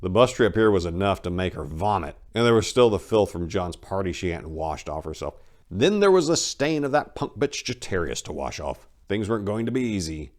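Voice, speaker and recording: A man with a deep voice serves as host and narrator. He delivers scripted story narration in a dramatic, theatrical way, leaning on words for emphasis.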